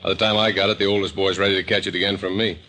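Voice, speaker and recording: A man's voice: low-pitched, little pitch movement, very fast, pushed and bright, full character voice. An actor playing a chatty police colleague and family man in an old radio drama, talking in a folksy, rambling way. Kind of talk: 1950s radio drama acting.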